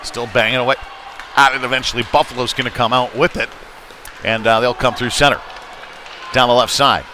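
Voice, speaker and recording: Male play-by-play commentator for youth hockey, mid-pitched voice, live from a clearly noisy indoor rink. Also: fairly even intonation, brisk, pauses often, pushed and bright. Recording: noisy rink ambience, bouncing knocks.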